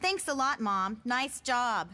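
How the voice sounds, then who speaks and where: A woman playing an excitable, sarcastic teenage girl in a comic drama, with a whiny delivery. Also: a little high, slow pace, heavily acted. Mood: sulky, sarcastic. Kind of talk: motion comic voice acting. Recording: voice over very loud music.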